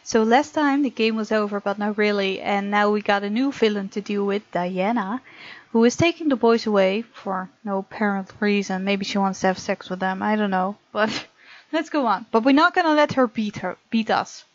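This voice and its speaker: A woman's voice: medium pitch, normal speed. A woman hosting a let's play, reading game text aloud and chatting casually as she reacts to the story. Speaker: gaming video host. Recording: muffled lo-fi mic over game music. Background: game music.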